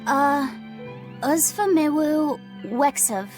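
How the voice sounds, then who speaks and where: A woman voicing a kindly, hesitant, soft-hearted young boy in a video game. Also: high voice, expressive, slow, faint music behind. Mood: earnest.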